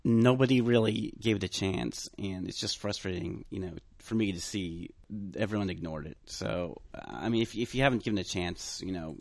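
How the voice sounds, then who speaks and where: Man, low voice, dry close sound, hoarse, halting panel chat. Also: a little mellow, no echo. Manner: halting, many fillers and restarts. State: voice going hoarse. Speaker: podcast panelist. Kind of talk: casual group chat about video games.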